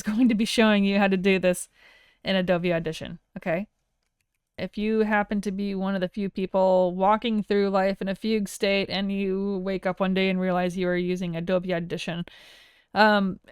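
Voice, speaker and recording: Very clear-voiced woman giving a casual tutorial. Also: mid-range voice, dry recording, studio quality. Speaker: tutorial instructor. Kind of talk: casual software tutorial narration. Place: close, dry voice-over for a screen recording.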